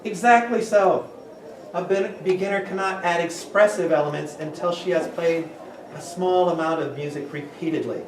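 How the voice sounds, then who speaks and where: Higher-voiced middle-aged man in echoey classroom. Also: moderate pace. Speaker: workshop presenter. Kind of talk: teaching talk and scripted reading to a class. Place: large classroom, indoors. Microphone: camera a few meters away. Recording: echoey room, far from mic, noisy.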